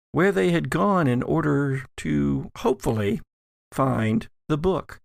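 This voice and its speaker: A man narrating as game master of a horror tabletop game, measured and dramatic, with deliberate pauses. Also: mid-range voice, gravelly, no echo, studio quality. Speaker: game master, podcast host.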